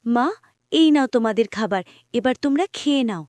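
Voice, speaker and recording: A woman's voice: fairly high pitch, normal speed, a little mellow, no room sound, natural voice. A woman voicing a sweet, put-upon and dutiful young village girl in a cartoon, earnest and polite. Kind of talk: cartoon voice acting.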